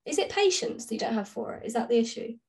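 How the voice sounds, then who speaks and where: Female co-host, higher-pitched, informal and playfully dry in conversation. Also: expressive, fast talker, a little mellow. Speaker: podcast co-host. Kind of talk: casual chat between friends.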